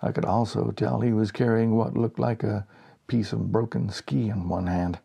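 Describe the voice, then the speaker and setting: An American man in his sixties reading a humorous short story aloud in an expressive storyteller's way. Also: on the low side, normal speed, creaky, breathy edge. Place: small home office, indoors.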